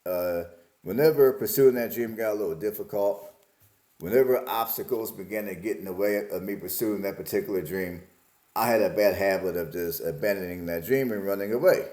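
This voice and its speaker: A man's voice: fairly low pitch, dark tone, reverberant. A man in his forties from Alabama, musing aloud in a casual, plain-spoken vlog about his life. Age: 40s. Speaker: vlogger. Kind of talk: casual reflective monologue.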